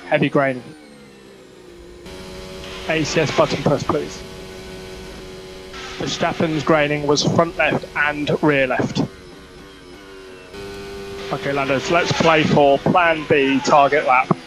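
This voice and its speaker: A man's voice: on the high side, steady tone, slow pace, pauses often, over music. A man working as a race engineer, giving terse, clipped technical instructions over team radio. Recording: noisy motorsport team radio.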